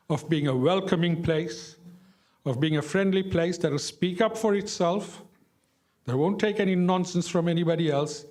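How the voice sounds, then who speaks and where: A man's voice: on the high side, a little mellow. An older man from Zimbabwe recounting his years as a councillor and activist in an emphatic, plain-spoken way, repeating phrases for effect. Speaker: former local councillor and activist. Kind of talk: impassioned reminiscence to an audience.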